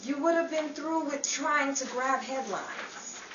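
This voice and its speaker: Woman doing exasperated newspaper columnist, old radio comedy style, really high excitable voice, far from mic. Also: slow, noisy background. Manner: excitable, protesting. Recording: echoey room, distant mic, dull sound.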